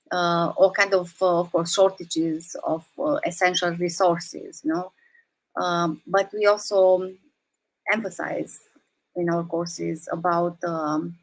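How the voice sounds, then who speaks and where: A woman's voice: fairly low pitch, rough recording. Earnest woman. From Romania. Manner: earnest, thoughtful, many fillers and restarts. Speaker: guest speaker, teacher of quantum activism. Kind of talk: explanatory talk in an online session.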